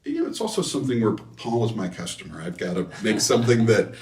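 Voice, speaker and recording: A man being interviewed as a designer, explaining technical matters casually and haltingly, often correcting himself. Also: fairly low pitch, sing-song, a little mellow. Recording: echoey room, distant microphone.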